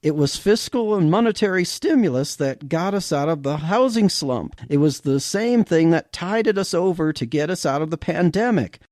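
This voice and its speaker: A man's voice: fairly high pitch, darkish tone, no room sound, clean studio sound, close to an everyday voice. A man as an earnest, lecturing professor.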